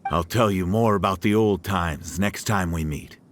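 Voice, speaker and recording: An actor playing a gentle, guilt-ridden middle-aged village man in a video game, speaking in a halting, subdued way. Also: deep voice, noisy background, natural voice. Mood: tender. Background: faint game music.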